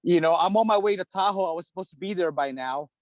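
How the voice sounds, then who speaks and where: A man's voice: high-pitched, fast talker, no echo. A man from the Philippines, a hockey repair shop owner, chatting casually as a podcast guest, rambling with lots of "dude" and "you know".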